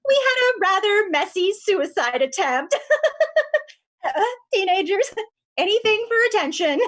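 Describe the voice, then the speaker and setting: A woman's voice: very high-pitched, expressive, a little slow, a bit bright, exaggerated voice. A woman reading the part of a flaky, eccentric therapist who rambles and fumbles her words in a script reading. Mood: flustered. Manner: flustered, rambling with fillers.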